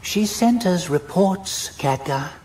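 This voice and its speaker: A man's voice: high-pitched, lively intonation, slow pace, a little mellow, voice pushed up, poor audio. A male narrator voicing a stern, cold female elf warden who speaks in clipped, accusing lines. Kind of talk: audiobook character voice.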